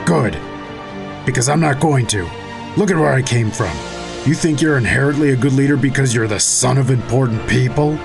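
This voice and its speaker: An actor playing a blunt, tough second-in-command soldier in an audio drama, stern and challenging, with a gravelly voice. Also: male voice, medium pitch, moderate pace, music in the background, lightly acted. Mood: firm, challenging.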